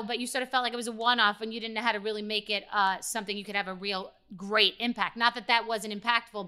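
A woman's voice: a little high, bright, forceful tone, clear, resonant tone. A woman hosting an interview, earnest and giving advice. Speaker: host. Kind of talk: interview and ad read.

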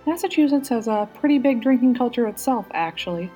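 A woman's voice: a little high, lively intonation, brisk, dark tone, faint music behind, voice pushed up, lightly acted. Woman reading as a cheerful, tipsy female president. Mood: playful. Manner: playful, nostalgic.

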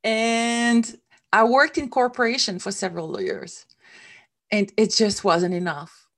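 A woman's voice: on the high side, a little slow. Raised middle-aged woman, reflective. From Switzerland. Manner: reflective, with some non-native grammar slips. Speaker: interview guest. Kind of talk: candid interview talk.

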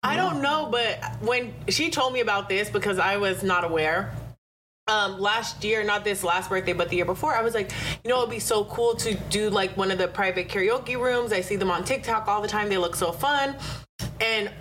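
A woman with a mid-range voice co-hosting a relaxed podcast, playful and chatty. There is faint noise under her voice, and she tosses in quips and friendly agreement. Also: mid-range voice, moderate pace. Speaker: podcast co-host. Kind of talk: casual podcast chat.

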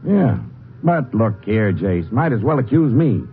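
An actor playing an easygoing middle-aged county sheriff in a 1950s radio drama, with a folksy drawl. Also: male voice, fairly low pitch, very animated, unhurried, soft background music. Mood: defensive. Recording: tinny lo-fi old radio broadcast with hiss.

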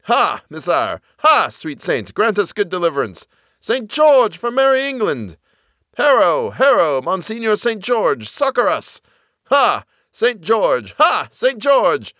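A man acts out a ghostly host of medieval archers from a storybook. The cries are loud, fervent and pitched high, swooping in archaic exclamation, with a dry close sound. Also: huge pitch swings, takes it slowly, no echo, heavily acted. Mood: fervent battle cry. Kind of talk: storybook narration with acted voices.

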